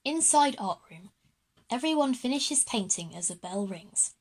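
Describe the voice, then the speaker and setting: A woman with a fairly high voice narrates a scripted audio drama. She reads out short stage directions and scene settings as the story's narrator. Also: lively intonation, a little slow, darkish tone, slightly rough.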